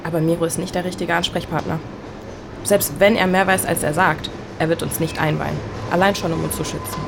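A young actress playing a level-headed, wry best friend in a naturalistic audio drama. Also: female voice, fairly low pitch, fairly even intonation, brisk, gravelly. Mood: reasoning, reassuring. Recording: noisy subway ambience behind dialogue.

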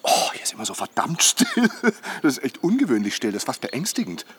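Jovial, pushy man, swinging pitch. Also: huge pitch swings, brisk, fairly bright tone, slightly rough, full character voice. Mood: musing, uneasy. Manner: teasing, chatty, punctuated by chuckles and tag questions. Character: jovial, pushy, chattering male camper goading his companion. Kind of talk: naturalistic German radio drama dialogue.

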